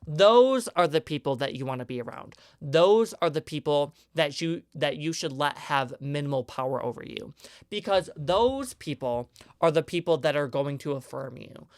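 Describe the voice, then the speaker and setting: A man in his twenties hosting a solo podcast, with a higher-pitched voice and an earnest, emphatic way of ranting. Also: lively intonation, normal speed, smooth, no echo, studio quality. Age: 20s. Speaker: podcast host.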